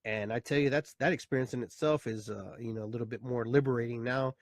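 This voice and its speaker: A man's voice: mid-range voice, fairly even intonation, fast talker, no room sound, studio quality. A man in his fifties hosting his own show, talking casually and reflectively, full of fillers and restarts. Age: 50s. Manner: rambling, reflective, with many fillers. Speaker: host and therapist. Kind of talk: casual live talk show monologue.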